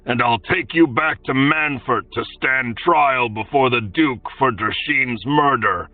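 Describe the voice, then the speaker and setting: A man voicing an angry, exasperated lord who shouts his demands. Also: medium pitch, takes it slowly, no room sound, recorded from a distance, narrow, tinny audio, pitched above the performer's own voice, close to an everyday voice. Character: blustering, angry male lord.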